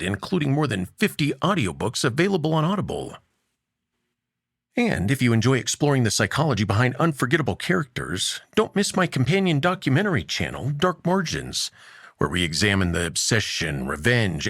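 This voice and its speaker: A man narrating a scripted audio story as its host, in a measured, dramatic way with deliberate pauses. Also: medium pitch, vocal fry, fairly bright tone, breathy edge, dry recording, studio quality.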